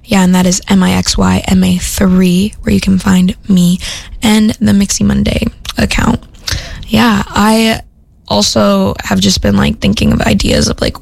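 Young woman radio host with heavy vocal fry. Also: medium pitch, a little mellow. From Minnesota. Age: young adult. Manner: rambling, upbeat, heavy with "like" and "um". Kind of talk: casual radio chat between songs. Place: radio studio.

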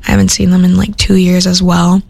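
A young woman hosting a radio show, talking loosely and cheerfully between tracks with constant "like" and "um" fillers. Her voice sits in the middle range but is very gravelly, with deep vocal fry through almost every phrase. The studio sound is close and dry. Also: monotone, brisk, darkish tone, no room sound. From Minnesota. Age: young adult. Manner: rambling, upbeat, heavy with "like" and "um". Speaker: radio host. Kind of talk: casual radio chat between songs.

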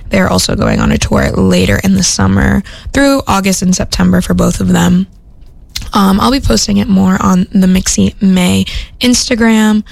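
A woman's voice: medium pitch, big pitch swings, normal speed, vocal fry, dry recording. A young woman from Minnesota, a radio host chatting casually between songs in an upbeat, rambling way full of "like" and "um," recorded in a studio. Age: young adult.

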